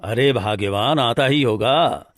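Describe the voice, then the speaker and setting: A man's voice: medium pitch, unhurried, fairly bright tone, dry recording, clean studio sound, lightly acted. A man playing a good-natured married village woodcutter in a cartoon story, earnest and homely in manner. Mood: reassuring. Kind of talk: Hindi cartoon story dialogue.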